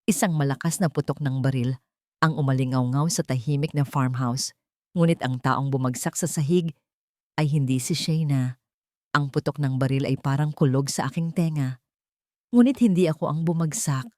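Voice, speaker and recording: A woman's voice: rumbling low, normal speed, darkish tone, no room sound, studio quality. A woman with a Filipino accent narrating a scripted dramatic story in the first person.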